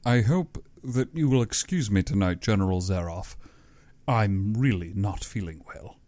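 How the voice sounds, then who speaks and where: A man's voice: lowish voice, a little slow, dark tone, dry recording, lightly acted. Audiobook hero: male reader as an indignant, uneasy hunter, terse and held back, close dry recording. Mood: uneasy, polite.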